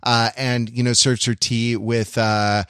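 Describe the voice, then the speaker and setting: A man with a mid-range voice talks as a podcast co-host in a close, dry recording. His delivery is halting and academic, building an analysis piece by piece in casual conversation and checking in as he goes. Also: medium pitch, level tone, fairly bright tone, smooth, no room sound. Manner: halting, academic phrasing with frequent check-in tags. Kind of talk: casual analytical pop-culture discussion.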